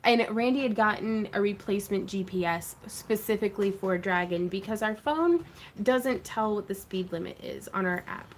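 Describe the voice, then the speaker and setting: A woman's voice: medium pitch, normal speed, a little hiss or hum. A woman hosting a craft livestream, chatting casually and laughing often as she greets viewers. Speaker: craft channel host.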